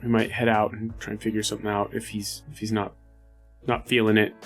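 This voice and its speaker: A man's voice: lowish voice, flat delivery, a little fast, soft background music. A man playing a tabletop RPG, improvising casually in character in a hesitant, rambling way. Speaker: player in a tabletop RPG podcast.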